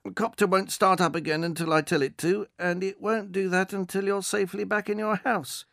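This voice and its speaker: Storyteller doing a cheery, coaxing older call-centre man; raised pitch, dry close mic. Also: sing-song, normal speed, vocal fry, no echo. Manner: professional good cheer, coaxing. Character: old male drone delivery worker, falsely cheerful.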